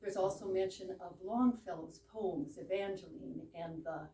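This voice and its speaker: A woman's voice: on the low side, normal speed, dark tone. A woman giving an informal, explanatory introduction to a play for a live audience. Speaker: presenter introducing a play she acts in. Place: room in a private home with a small audience. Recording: echoey room, distant mic, background noise.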